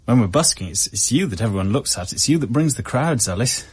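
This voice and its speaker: An actor playing a smitten young busker with a husky voice in a radio drama. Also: male voice, mid-range voice, animated, fast. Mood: tender.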